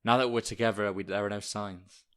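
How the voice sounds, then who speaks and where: A man plays a cynical schoolteacher in an audio drama. The voice is low and gruff, the delivery blunt and sardonic, as someone who trusts nobody. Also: fairly even intonation, brisk, natural voice. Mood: grim. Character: cynical, gruff male schoolteacher trapped in a crisis. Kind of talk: naturalistic audio drama acting.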